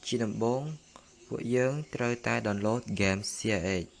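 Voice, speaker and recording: Low-voiced man giving halting tutorial steps. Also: steady tone, a little hiss or hum. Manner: halting, plain phrasing, repeats each step in two languages. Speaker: tutorial narrator. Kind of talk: casual step-by-step tutorial voice-over.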